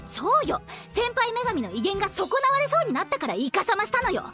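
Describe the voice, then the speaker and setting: A woman playing a vain, whiny young goddess in an anime audio drama, haughty, dramatic and quick to plead. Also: extremely high pitch, huge pitch swings, loud music behind the voice, exaggerated voice. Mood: haughty, indignant.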